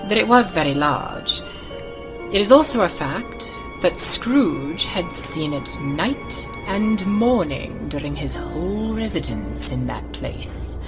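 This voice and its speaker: A woman narrating a classic ghost story as a dramatic audiobook, theatrical and suspenseful, with a tendency toward hushed, breathy delivery. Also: sits low, poor audio. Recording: loud music under the voice. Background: music.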